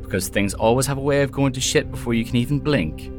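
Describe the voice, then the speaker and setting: An actor playing a jaded, wry mercenary haunted by lost love, speaking in a sardonic, conversational audio drama style. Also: male voice, medium pitch, quick pace, lightly acted. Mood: wistful, guarded. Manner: sardonic, storytelling about himself in third person. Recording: music behind the voice.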